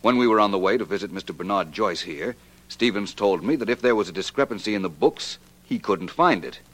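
An actor playing a shrewd, confident detective in 1940s radio drama style, laying out his deductions crisply and methodically. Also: fairly low pitch, lively intonation, brisk, a bit bright. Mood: self-assured, explanatory. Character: shrewd, self-assured male amateur detective explaining his deductions.

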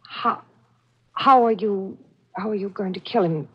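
An actress playing an anxious, trusting lover and accomplice in a 1940s radio mystery, earnest and melodramatic. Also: female voice, mid-range voice, unhurried, slightly rough. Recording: muffled old radio broadcast.

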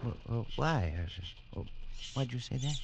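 An actor in a 1940s radio drama playing a defensive, shaken adventurer who speaks in a halting, dramatic way. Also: male voice, medium pitch, big pitch swings, creaky, background noise. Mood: anxious. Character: shaken, defensive male adventurer telling his tale. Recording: old radio broadcast with music.

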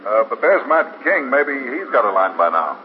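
A man's voice: mid-range voice, very animated, normal speed, natural voice. An American man playing a chatty, sympathetic police desk officer in a 1950s radio drama, with a folksy, conversational manner. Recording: dull 1950s radio with faint noise.

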